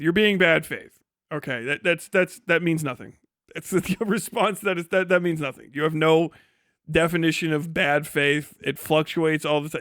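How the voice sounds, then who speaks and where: A man's voice: a little high, fairly bright tone, no room sound, clean studio sound. A man giving casual, opinionated livestream commentary in a blunt, sarcastic and profane way. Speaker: livestream host reacting to a debate video.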